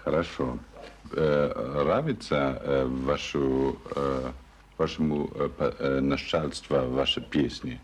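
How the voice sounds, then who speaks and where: Мужчина, иностранный корреспондент, для которого немецкий родной, задаёт вопросы на ломаном русском, с запинками. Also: male voice, rumbling low, breathy edge. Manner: hesitant, halting non-native Russian. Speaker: foreign correspondent. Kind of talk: interview questions.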